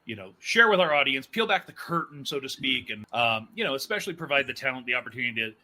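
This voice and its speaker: A man hosting a casual interview show in a chatty, animated manner. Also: mid-range voice, fast. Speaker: podcast host and executive producer.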